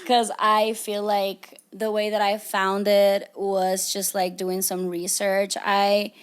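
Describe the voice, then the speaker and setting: A woman's voice: medium pitch, monotone, slow pace, clean tone. A young woman from Ecuador, a podcast guest, chatting casually with many fillers and easy laughs. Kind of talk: casual chat.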